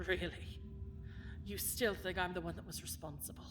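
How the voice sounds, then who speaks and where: A woman's voice: on the low side, steady tone, normal speed, over music, close to an everyday voice. A woman playing a cold, bitter villainess who rules a dungeon, speaking with haughty, theatrical menace in a fantasy audio drama. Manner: haughty, menacing, theatrical, swinging from hushed to forceful.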